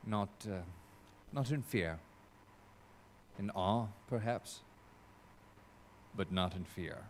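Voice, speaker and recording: An actor playing a wary, shaken gentleman in a live audio drama, hesitant and halting. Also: on the low side. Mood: wary, grave. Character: wary, shaken gentleman guest of a mad scientist. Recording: live stage recording, faint room noise.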